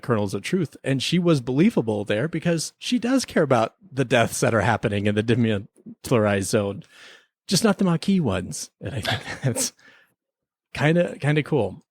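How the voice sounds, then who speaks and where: A man's voice: mid-range voice, sing-song, normal speed, dry recording, clean studio sound. A man co-hosting a podcast, talking through a TV episode in a rambling, opinionated, informal way. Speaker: podcast co-host. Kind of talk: casual TV episode review chat.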